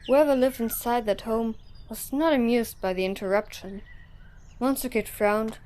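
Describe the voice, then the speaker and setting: A woman's voice: on the high side, fairly even intonation, normal speed, a little mellow. A woman narrator reading scripted story narration for an audio drama.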